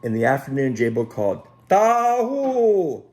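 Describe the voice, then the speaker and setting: Animated man reading a children's story. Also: on the low side, unhurried. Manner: expressive, dramatic, speaks to child listeners. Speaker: Sunday school storyteller. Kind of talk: animated children's story read aloud with asides. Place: at home.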